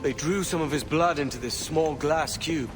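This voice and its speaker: A man's voice: on the high side, moderate pace, music in the background, natural voice. A man playing a roguish, money-minded young pirate captain in a video game, flippant, colloquial and swaggering. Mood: frank, wary. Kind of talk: dramatic video game acting.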